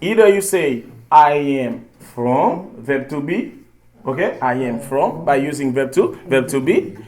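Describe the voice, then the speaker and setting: Patient man teaching English, somewhat high voice. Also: animated, unhurried, darkish tone. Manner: patient, repeats phrases, switches into French. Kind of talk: classroom language lesson. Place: classroom.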